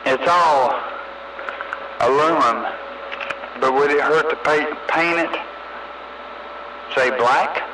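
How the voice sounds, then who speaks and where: Casting: male CB operator, slow casual delivery, noisy radio transmission. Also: fairly high pitch. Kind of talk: casual chat over CB radio.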